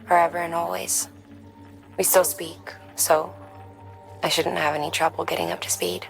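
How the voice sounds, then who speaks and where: Enigmatic devoted ally, video game drama, low-pitched, gravelly fry, cryptic short phrases. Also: female voice, little pitch movement, normal speed, soft background music, rough recording. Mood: composed, cryptic. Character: enigmatic, devoted woman offering radio support.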